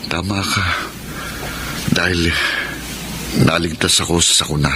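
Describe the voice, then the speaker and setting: A man's voice: sits low, steady tone, measured pace, background noise. A Filipino actor playing a sincere, smitten young man in a radio drama, speaking earnestly and with measure. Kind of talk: Philippine radio drama acting.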